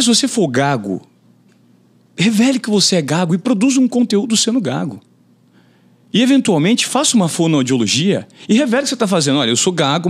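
A man in his forties, a journalist guest on a podcast, talking in an animated way and acting out quotes in a raised voice, recorded in a studio on a boom-arm mic. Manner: animated, gestures a lot, acts out quotes in a raised voice. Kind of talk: conversational interview. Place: podcast studio with brick wall.

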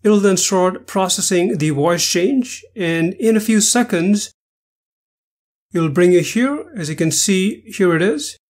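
High-voiced man narrating a software tutorial. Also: lively intonation, slow pace. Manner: step-by-step, instructional. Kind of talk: scripted screen-capture tutorial narration.

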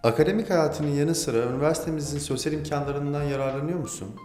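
Guy interviewer, reads questions really fast, roomy echo, some music. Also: fairly high pitch, a little mellow. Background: background music.

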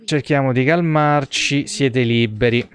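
A man's voice: medium pitch, steady tone, unhurried, clean tone. A young man giving casual live game commentary, muttering and swearing in exasperation to his viewers. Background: video game music.

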